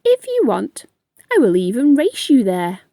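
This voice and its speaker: A woman voicing a calm, patient male snail in a storybook reading. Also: a little high, dry recording, clean studio sound, full character voice. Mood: confident. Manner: unhurried, unbothered.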